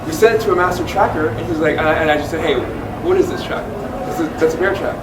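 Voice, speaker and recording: A wildlife tracking panelist telling stories in a chatty, animated way at a live question-and-answer event, full of "like" and restarts. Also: male voice, fairly high pitch, quick pace, loud music behind the voice. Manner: chatty, lots of "like" and self-restarts. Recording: echoey room, distant mic, noisy.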